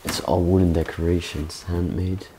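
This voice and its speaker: A young man casually chatting while exploring an abandoned castle, relaxed and full of questions. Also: low-pitched, moderate pace, background noise. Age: young adult. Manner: relaxed, agreeable, asks questions. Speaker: urban explorer co-host. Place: large abandoned castle indoors.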